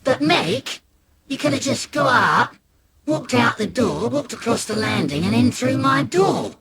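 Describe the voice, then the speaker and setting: A woman's voice: on the high side, sing-song, unhurried, gravelly, over a noisy crowd, pitched above the performer's own voice, exaggerated voice. A woman storyteller voicing a giggly younger brother who points out the obvious. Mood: teasing.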